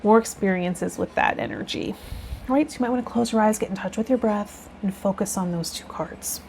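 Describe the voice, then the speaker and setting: A woman's voice: medium pitch, fairly quick, creaky. A woman hosting a tarot video, reading card meanings aloud and adding casual commentary.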